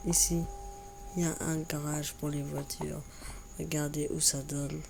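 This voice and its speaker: A woman with a cold and a stuffy voice, chatting slowly through a building game, often repeating herself. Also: low voice, level tone, vocal fry, noisy background. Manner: slow, repeats words while building. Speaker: Minecraft YouTuber. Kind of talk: casual gameplay commentary.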